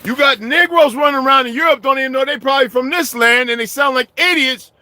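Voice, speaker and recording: A middle-aged man delivering a heated, profane vlog rant, swinging from low muttering to shouting. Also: quick pace, dry recording. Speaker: vlogger. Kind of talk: profane ranting monologue.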